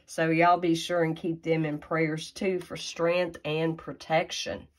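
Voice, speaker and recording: Low-voiced woman, stuffy-nosed, slow and chatty craft host. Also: little pitch movement. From Tennessee, USA. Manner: chatty and folksy, with frequent fillers and asides. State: stuffy nose from a sinus infection. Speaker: craft demonstrator. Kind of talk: casual card-making tutorial.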